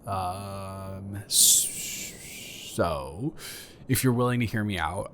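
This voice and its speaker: A man's voice: fairly low pitch, fairly bright tone, a little hiss or hum. Man playing an awkward, stammering guy next door. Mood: hesitant, helpful. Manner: stammering, rambling, hesitant. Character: awkward, flustered, friendly male neighbor. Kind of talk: improvised roleplay audio, conversational.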